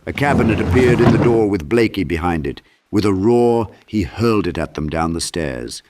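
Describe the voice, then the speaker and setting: A man's voice: on the low side, lively intonation, moderate pace, a little mellow, a little hiss or hum. A man reading a horror audiobook as a narrator, in a theatrical, intense storytelling manner. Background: sound effects with clicks.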